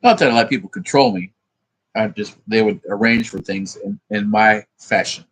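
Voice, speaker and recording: A man's voice: on the low side, normal speed, fairly bright tone, breathy edge, low-quality recording. A man rambling in a profane, meandering monologue, thinking aloud as he works through sums. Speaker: vlogger.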